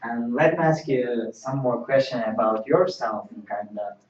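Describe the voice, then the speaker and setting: A man's voice: mid-range voice, a little slow, a little mellow, a little hiss or hum. A man playing an interviewer in a mock job interview, asking simple questions in non-native English. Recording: distant, roomy, dull lo-fi sound.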